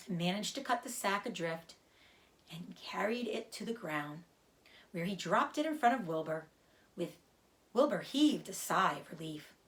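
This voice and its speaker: A woman reading a children's book aloud as an expressive storyteller. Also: on the low side.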